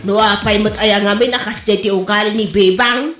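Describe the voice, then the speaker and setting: A woman's voice: medium pitch, fairly even intonation, normal speed, over music, close to an everyday voice. A woman acting as a gossipy, indignant co-worker in an Ilocano comedy drama, with an Ilocano Filipino accent and an exclaiming delivery. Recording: roomy, echoey room sound.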